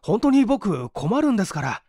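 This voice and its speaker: A woman performs a jobless man in an audio story, with lively, swinging intonation. He is hungry, brazen and wry, talking politely but pushily in an old-fashioned way, in a dry recording. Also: mid-range voice, moderate pace, no room sound, voice pushed up. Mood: insistent.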